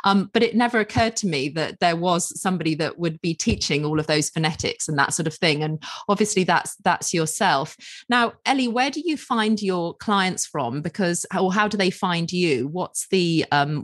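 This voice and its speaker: A woman's voice: lowish voice, normal speed. A middle-aged woman hosting a podcast, asking long, enthusiastic, rambling questions. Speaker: podcast host. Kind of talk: conversational podcast interview.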